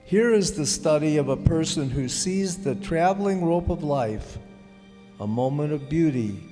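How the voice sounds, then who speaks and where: A man delivers a solemn, scripted literary introduction. His voice is mellow and unhurried. It reverberates in a hall with faint music underneath. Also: on the high side, expressive. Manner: solemn, deliberate phrasing. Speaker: introducer of the story. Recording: echoey hall, long reverb.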